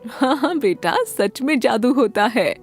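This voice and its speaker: A woman voicing a gentle, doting grandmother who coaxes a child affectionately in a children's cartoon story. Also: a little high, lively intonation, a little slow, breathy edge, soft background music, pitched above the performer's own voice, exaggerated voice. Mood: coaxing.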